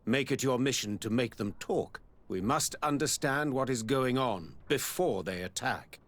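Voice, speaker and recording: Stately, genteel governor, medium pitch. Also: male voice, lively intonation, measured pace, a bit bright. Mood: courteous, commanding. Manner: formal, eloquent, smooth-mannered. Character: stately male colonial governor, self-assured and genteel. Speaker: governor character. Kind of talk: video game cutscene acting.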